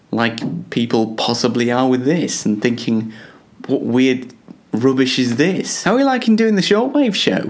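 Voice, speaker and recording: Halting, self-deprecating man with lively pitch swings. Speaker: host of a self-made web show, interviewing himself. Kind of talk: casual self-interview chat.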